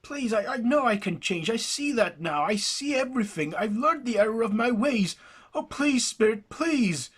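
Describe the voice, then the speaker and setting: A man voicing a grown man who begs a spirit for another chance, pitched far above his own voice and breaking with desperation. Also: animated, moderate pace, fairly bright tone, breathy edge, full character voice. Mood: desperate pleading. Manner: desperate, high-pitched begging.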